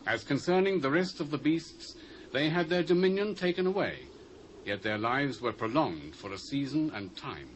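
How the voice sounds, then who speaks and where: A British actor playing a devout, awestruck prophet in a dramatised Bible reading, speaking with measured, emphatic drama. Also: male voice, mid-range voice, lively intonation, close to an everyday voice, low-quality recording. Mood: awed, intense. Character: devout male prophet, awestruck and earnest visionary. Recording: old cassette with faint hiss.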